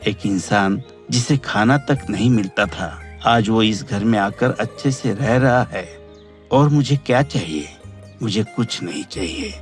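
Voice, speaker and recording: A man's voice: lowish voice, a little slow, faint music behind, lightly acted. A man playing a humble, loyal older servant uncle in a Hindi cartoon story. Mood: humble, content.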